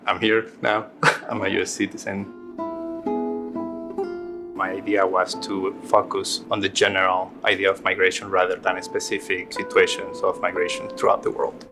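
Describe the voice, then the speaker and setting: A man's voice: deep voice, level tone, normal speed. A man from Ecuador, an artist speaking reflectively in a documentary interview with frequent restarts. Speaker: visual artist. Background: guitar music.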